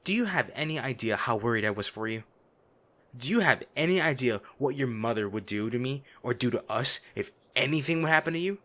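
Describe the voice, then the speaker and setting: A man acts out a noble lady's bodyguard in a fantasy audio roleplay. He is a man with a medium-pitched voice, blunt and exasperated. His delivery is emotional and protective, swinging between scolding and anxious care. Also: expressive, brisk, bright, forceful tone. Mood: angry, scolding. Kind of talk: dramatic fantasy audio roleplay addressed to the listener.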